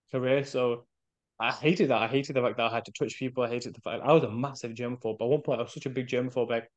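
A British man chatting casually as a podcast guest, telling animated anecdotes and acting out bits of dialogue in a chatty way. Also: medium pitch, dull audio. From United Kingdom. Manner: chatty, animated storytelling with self-quoted dialogue.

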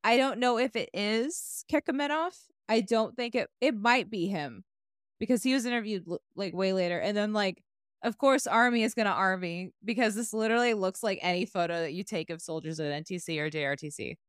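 A young woman hosting a casual podcast chat, swearing freely, excitable and quick to apologize. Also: medium pitch, clean tone, no room sound, clean studio sound. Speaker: podcast host presenting research.

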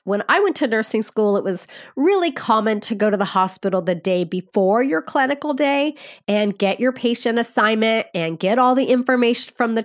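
A fairly high-pitched woman talks through a podcast lesson, her voice nasal and stuffed up from a cold. She explains things plainly and speaks straight to the students listening. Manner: friendly, explanatory, addresses students directly. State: congested with a cold. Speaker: nursing educator and podcast host. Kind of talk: podcast teaching talk.

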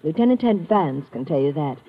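Cool, knowing radio femme fatale, muffled. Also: female voice, low voice, animated, brisk. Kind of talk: old-time radio drama acting. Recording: dull, noisy old radio recording.

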